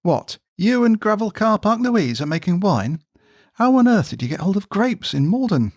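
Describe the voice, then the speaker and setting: An earnest, gossipy bloke played by a man in a comic audio drama. His pitch moves around a lot under deadpan, incredulous banter, in a close, dry recording. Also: moderate pace, dry recording, heavily acted. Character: matter-of-fact, gossipy male pub friend, comically earnest. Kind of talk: audio drama comedy dialogue.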